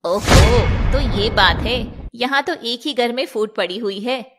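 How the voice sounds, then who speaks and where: A woman voicing a gloating, predatory poison-maiden villain in an animated fairy tale, drawing out her words. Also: fairly high pitch, takes it slowly, heavy background noise. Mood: scheming.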